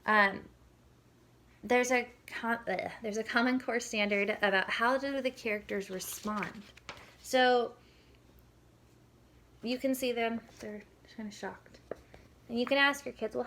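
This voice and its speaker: A woman's voice: fairly high pitch, fairly bright tone, a little hiss or hum. A woman speaking as a parenting educator, giving an informal, conversational lesson and explaining as she goes. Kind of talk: informal instructional talk with demonstrated questions.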